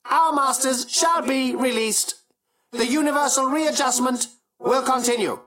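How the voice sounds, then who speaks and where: High, slow, rasping robot. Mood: solemn, flat. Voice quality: rasping, mechanical. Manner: slow, deliberate, flatly literal. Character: solemn, patient, emotionless killer robot.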